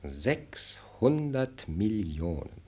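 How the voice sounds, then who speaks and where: Sardonic minister of state, swooping delivery. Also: male voice, fairly low pitch, sing-song, very slow, a little mellow, slightly rough, exaggerated voice. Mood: dry lecturing. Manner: formal, pointed, lecturing, ironic delivery. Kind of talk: German radio play acting.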